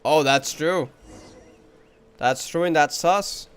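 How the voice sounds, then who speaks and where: A man's voice: fairly high pitch, slow, fairly bright tone, clean tone. A man giving casual commentary as he plays a game, thinking aloud in short remarks. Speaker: game streamer. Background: game music.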